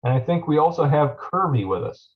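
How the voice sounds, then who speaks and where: A man's voice: a little high, animated, brisk, phone-quality sound. A man who is a deputy county administrator, giving a loose presentation at a public meeting with many ums and uhs. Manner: frequent fillers, loose and conversational.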